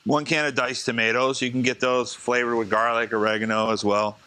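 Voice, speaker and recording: A man, a chef, teaching a live cooking demonstration in a casual, chatty way, slightly hoarse as he is losing his voice. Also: mid-range voice, flat delivery, brisk, bright, forceful tone. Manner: casual, chatty, talks to the class.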